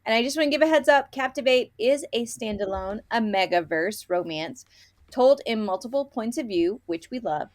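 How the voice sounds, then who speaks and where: A woman's voice: on the high side, animated, moderate pace, dry recording. A woman co-hosting a podcast, chatting casually about books in a playful, informal, gushing way. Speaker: podcast co-host. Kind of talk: casual book review chat.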